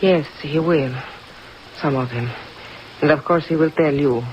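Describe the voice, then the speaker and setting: An actress playing an elegant, evasive countess in a 1950s radio drama, with dramatic, pleading delivery and formal phrasing. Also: female voice, low voice, measured pace. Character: elegant, evasive countess, a seductive femme fatale suspect. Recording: dull, noisy vintage radio recording.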